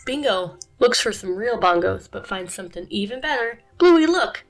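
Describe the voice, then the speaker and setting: Woman, mid-pitched, reads a kids' book aloud with lively expression; voice a touch tired. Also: moderate pace, soft background music. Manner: animated, expressive. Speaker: children's book reader. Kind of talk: read-aloud narration.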